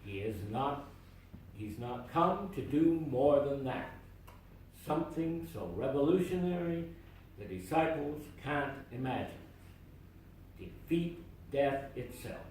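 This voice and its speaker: A man's voice: medium pitch, lively intonation, darkish tone, recorded from a distance, a little hiss or hum. Man reading a sermon in an echoey church. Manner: measured, deliberate reading with emphatic phrasing. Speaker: lay reader delivering a sermon. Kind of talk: read sermon. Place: church sanctuary.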